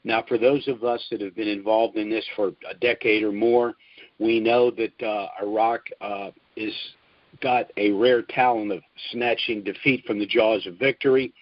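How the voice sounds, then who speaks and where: An older American man hosting a conference call as its spokesman, giving an informal business briefing in a measured way with emphasis on key points. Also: on the low side, fairly even intonation, no room sound. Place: at home, recorded over a conference call. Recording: dull, dry phone conference audio.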